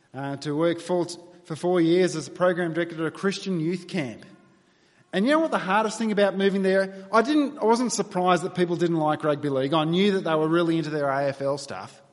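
Australian pastor in a reverberant hall. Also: male voice, sits high. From New South Wales, Australia. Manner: earnest, conversational, wry asides. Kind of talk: sermon with prayer. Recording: long reverb of a church hall.

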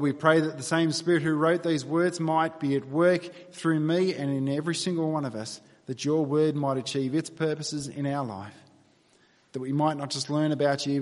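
An Australian man delivering a sermon, moving between earnest prayer and relaxed storytelling with dry, wry humour. His voice sits a little high for a man. The sound carries the long reverb of a church hall. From New South Wales, Australia. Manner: earnest, conversational, wry asides. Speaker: pastor.

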